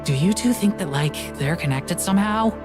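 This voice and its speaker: A woman voicing an excitable, blunt young woman ghost hunter who gets exasperated and suspicious, in a visual novel. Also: fairly low pitch, moderate pace, music in the background, natural voice. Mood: uneasy, deflated. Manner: exclamatory, blunt, casual.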